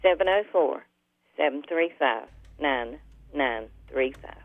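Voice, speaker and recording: A woman calling a radio show, casually listing things in a halting way over the phone. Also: sits low, expressive, dry recording. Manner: halting, pausing between items. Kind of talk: casual listing of items and numbers. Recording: narrow phone line on radio.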